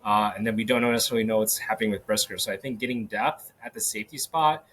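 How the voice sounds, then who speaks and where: A man hosting a solo sports podcast, talking casually with many fillers and restarts, his voice a little hoarse. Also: lowish voice, fast talker, a bit bright, a little hiss or hum. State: slightly hoarse. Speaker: podcast host. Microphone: podcast microphone.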